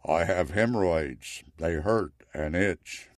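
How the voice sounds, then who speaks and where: A man voicing a weary, wise Zen temple master in a story, matter-of-fact and deliberate. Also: deep voice, dry recording, clean studio sound. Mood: deadpan. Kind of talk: storytelling character quote.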